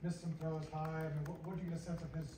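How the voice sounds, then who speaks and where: A man's voice: fairly high pitch, little pitch movement, racing. A man, a sports reporter asking a question at a press conference. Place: indoor press conference room. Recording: very echoey, distant, noisy room.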